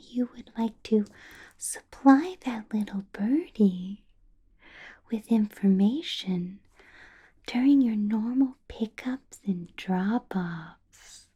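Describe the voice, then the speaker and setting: A female vampire boss, acted by a woman in an ASMR roleplay, speaks in a breathy, husky whisper. She is very slow and deliberate, lingering over pauses and musing hums. Her tone is soft and mellow, yet possessive, condescending and coolly menacing. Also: on the high side, exaggerated voice. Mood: stern, probing.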